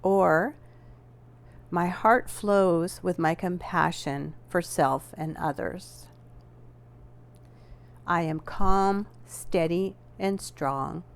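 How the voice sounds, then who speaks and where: Very slow, low-voiced woman guiding relaxation. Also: vocal fry. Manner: measured, gently instructing with deliberate pauses. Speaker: yoga nidra instructor. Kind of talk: guided relaxation meditation.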